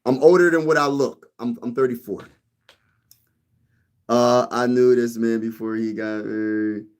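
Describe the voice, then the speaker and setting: A man's voice: medium pitch, fairly even intonation, measured pace, a little mellow, clean tone. A man hosting a sports channel in a casual live-stream chat, rambling, repeating himself and calling listeners "bro.". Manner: rambling, repeats phrases, says "bro" a lot. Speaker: YouTube sports channel host.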